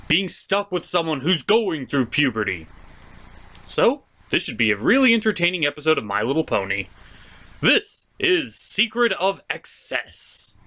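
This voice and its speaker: A theatrical host narrates a comedic cartoon review. The pitch swings widely, and the delivery is sarcastic and mock-exasperated, with some swearing. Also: a bit bright. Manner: profane.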